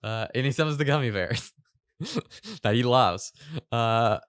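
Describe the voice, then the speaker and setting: A man hosting a reaction video, commenting casually in a halting way and repeating words for emphasis. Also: medium pitch, expressive, clean tone, no echo, studio quality.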